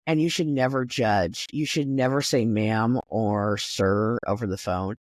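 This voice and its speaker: A middle-aged man with a fairly high voice, chatting casually as a podcast host and often restarting his sentences. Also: a little slow, no echo, studio quality. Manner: chatty, frequent restarts and filler words. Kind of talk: casual conversation.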